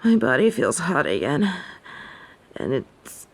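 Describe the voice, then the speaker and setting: A woman playing a haughty, imperious yet softening fallen angel in a scripted audio roleplay, speaking in formal, theatrical phrases. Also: low voice, huge pitch swings, slow pace, heavily acted. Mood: troubled, dazed.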